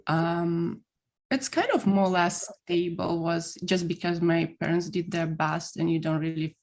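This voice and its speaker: Gravelly-voiced Russian-accented woman. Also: on the low side, unhurried. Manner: relaxed, hesitant with fillers. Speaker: podcast guest. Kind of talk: casual chat.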